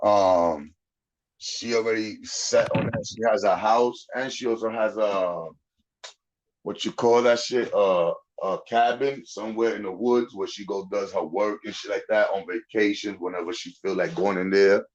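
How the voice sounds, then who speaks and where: A man's voice: fairly low pitch, fairly even intonation, moderate pace, lo-fi sound. A man in his forties, from the Dominican Republic, talking bluntly and profanely in casual chat, often tagging on "you know what I'm saying". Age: 40s. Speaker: podcast host.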